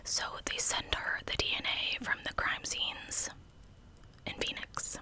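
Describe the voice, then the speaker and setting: A woman telling true crime stories as ASMR in a breathy, unvoiced whisper with a conversational manner. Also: sharp tone, poor audio. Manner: conversational narration.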